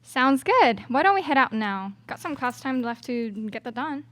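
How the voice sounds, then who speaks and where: Woman acting as scheming young student, secretly murderous, higher voice, amateur radio drama. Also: big pitch swings, moderate pace, fairly bright tone. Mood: casual. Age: young adult. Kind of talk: amateur scripted radio play.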